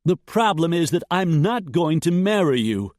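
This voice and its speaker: A man doing a shrill, defiant young woman. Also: lively intonation, a little slow, darkish tone, no room sound, clean studio sound. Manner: shrill, cries out at a distance.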